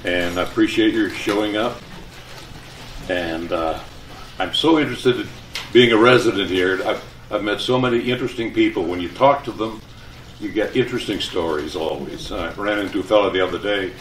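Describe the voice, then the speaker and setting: Older man, lowish voice, in an echoey room. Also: normal speed. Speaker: retired radio announcer speaking to residents. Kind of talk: live talk with anecdotes and reminiscence. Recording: echoey room, distant mic, faint noise.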